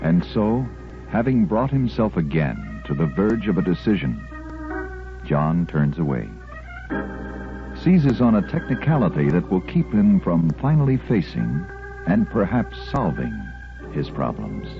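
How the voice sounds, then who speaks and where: A man's voice: sits low, wildly swooping pitch, measured pace. A man narrating a radio serial as its announcer, in a dramatic 1940s style. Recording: muffled old radio, loud organ music.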